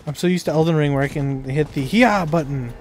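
A man's voice: fairly high pitch, brisk, darkish tone. A man chatting casually while playing a co-op video game with friends. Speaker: co-op gaming partner. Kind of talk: casual co-op gaming chat. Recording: faint game ambience noise. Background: game ambience, horse sounds.

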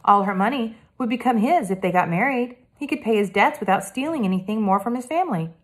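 A woman voicing an eager young girl detective, speaking brightly and earnestly. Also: on the high side, animated, quick pace, pitched above the performer's own voice, lightly acted.

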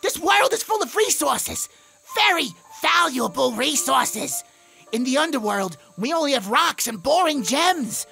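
Woman playing excitable little demon boy, high and creaky. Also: unhurried, exaggerated voice. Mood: awed, excited. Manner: theatrical, wonder-struck, cheeky. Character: excitable, curious young male cartoon demon from the underworld. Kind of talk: comic dub voice acting. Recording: music behind the voice.

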